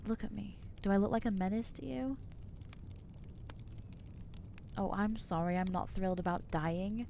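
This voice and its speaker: A woman voicing an anxious, guilt-ridden new vampire in an ASMR roleplay, halting and self-doubting, with wry exasperation. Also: mid-range voice, gravelly, warm tone, a little hiss or hum, close to an everyday voice. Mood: bitter, wary. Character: anxious newly turned female vampire, guilty and overwhelmed. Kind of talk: ASMR roleplay acting to the listener.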